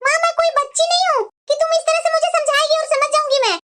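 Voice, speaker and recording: A woman's voice: very high voice, fairly even intonation, a little fast, very noisy. A woman playing a scheming, impatient young married daughter in a scripted family story, demanding and insistent. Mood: angry, demanding.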